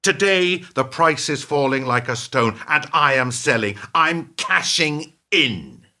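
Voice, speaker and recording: Ruthless middle-aged businessman for radio play, male actor, smug and speechifying, very animated delivery, sharp-edged tone. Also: fairly high pitch, slow pace, full character voice. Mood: cold, defiant. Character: ruthless, self-justifying businessman betraying his lifelong partner.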